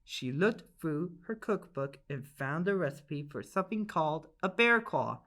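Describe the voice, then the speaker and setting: A woman's voice: deep voice, expressive, unhurried, a bit bright. A woman narrating a children's storybook aloud in an enthusiastic, emphatic way. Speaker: storybook reader.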